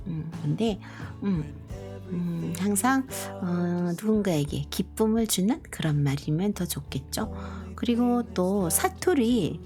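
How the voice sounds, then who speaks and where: Gentle, lively-voiced middle-aged woman. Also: fairly low pitch. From Korea, grew up partly in Busan. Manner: slow, gentle, many fillers and pauses. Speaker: live stream host. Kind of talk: casual live chat to viewers. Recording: soft background music.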